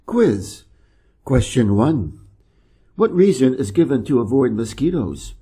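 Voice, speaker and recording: Midwest american guy reading slow for english learners, real dark warm voice, kinda husky. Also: medium pitch, sing-song. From Wisconsin, USA. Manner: measured and deliberate, clearly pronounced. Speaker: reader of an English learning channel. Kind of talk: slow, careful reading aloud.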